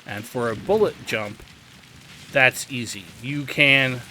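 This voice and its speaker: A man's voice: medium pitch, slow, pushed and bright, slight background noise. A man giving a casual, instructive video game tutorial in an opinionated, emphatic way. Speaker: video game guide host.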